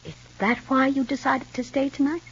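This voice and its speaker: A woman's voice: sits high, fairly even intonation, normal speed, close to an everyday voice. An actress in an old radio soap opera playing a resentful, defensive mature single woman with pointed retorts. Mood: bitter, suspicious. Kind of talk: 1940s-50s radio soap opera acting. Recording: muffled old radio recording.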